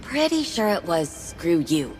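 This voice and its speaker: A woman's voice: fairly low pitch, big pitch swings, unhurried, low-quality recording. An actress playing a headstrong woman scientist adventurer in a video game, sardonic and casual. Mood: defiant, sarcastic. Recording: loud music under the voice.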